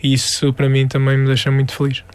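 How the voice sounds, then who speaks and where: A young man from the Algarve with a European Portuguese accent, a musician guest talking through his songs in a relaxed interview, with lots of pauses and restarts. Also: medium pitch, smooth. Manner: thoughtful, many hesitations and restarts. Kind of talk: casual interview.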